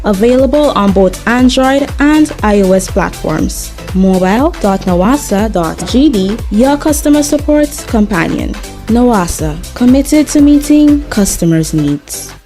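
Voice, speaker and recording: Mellow woman reading an app ad. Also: fairly high pitch, animated, measured pace, faint music behind. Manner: smooth, polished corporate read. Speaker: ad announcer. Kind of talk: radio commercial read.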